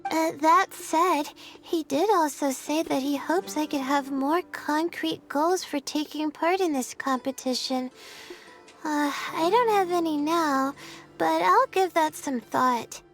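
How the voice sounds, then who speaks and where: Anxious, very high-voiced young student girl. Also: takes it slowly, faint music behind, full character voice. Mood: polite, relieved. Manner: hesitant, earnest, soft-spoken. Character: anxious, modest young female astrology student. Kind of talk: anime-style video game voice acting.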